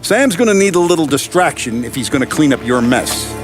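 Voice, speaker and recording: An actor playing a ruthless, coolly businesslike mafia boss with an American accent, giving blunt, clipped orders in a crime game. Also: male voice, fairly high pitch, sing-song, brisk, over music. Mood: commanding. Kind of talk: cinematic crime game dialogue.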